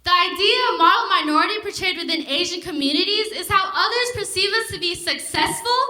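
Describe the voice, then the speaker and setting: A teenage girl delivers a declamatory spoken word piece, her very high voice sharp and bright. She speaks into a handheld mic on a theater stage, and the hall rings around her. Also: brisk. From United States. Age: teens. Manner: declamatory, emphatic. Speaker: student performer. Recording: roomy theater sound.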